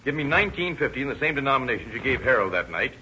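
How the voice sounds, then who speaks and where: An American actor playing a shrewd, confident police officer with brisk, cajoling talk in a 1930s radio drama. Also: male voice, mid-range voice, very animated, pushed and bright. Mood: confident scheming. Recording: muffled, noisy 1930s radio broadcast.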